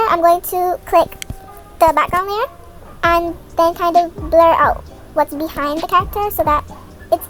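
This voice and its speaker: Very high-pitched young woman over music. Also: fairly bright tone. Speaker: video creator giving a tutorial. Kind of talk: casual step-by-step tutorial voice-over. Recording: music behind the voice. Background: background music.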